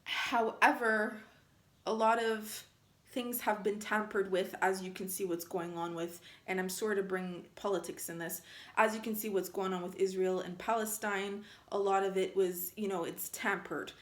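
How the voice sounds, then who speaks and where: A woman in her thirties, originally from Armenia, with Armenian as her first language. She talks straight to camera in a casual, earnest way and keeps pausing to check in with listeners. Her mid-pitched voice carries a noticeable room echo. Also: steady tone. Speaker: travel vlogger. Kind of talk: casual direct-to-camera monologue. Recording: roomy echo.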